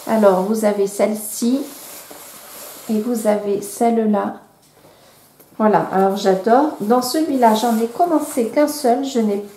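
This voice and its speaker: A woman in her thirties with a cold chats casually about her hobby, full of hesitations and restarts. Her voice sits a little high and mellow, heard in an echoey room. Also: a little hiss or hum. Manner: hesitant, many fillers and restarts. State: has a cold. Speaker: vlogger. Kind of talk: casual chat.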